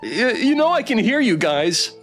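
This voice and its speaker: A man plays a timid visual novel contestant in a very high voice. He stammers and pleads as a nervous underdog who fears for his survival, with music behind him. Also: sing-song, moderate pace, fairly bright tone. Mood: nervous pleading. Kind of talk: visual novel character read-aloud.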